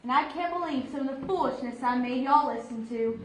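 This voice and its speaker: Woman playing a high-pitched Southern church girl. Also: brisk, echoey room, slight background noise, lightly acted. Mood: proudly earnest. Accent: American South. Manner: earnest, rambling, naive. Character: giddy, chatty young church girl insisting she has matured. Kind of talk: comic stage monologue in character.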